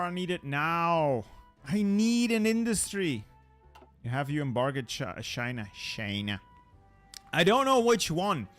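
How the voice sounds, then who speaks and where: A man's voice: on the high side, fairly bright tone. A man hosting a gaming channel, giving wry, playful live commentary on a strategy game, his voice slightly worn. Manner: wry, playful, jokey asides.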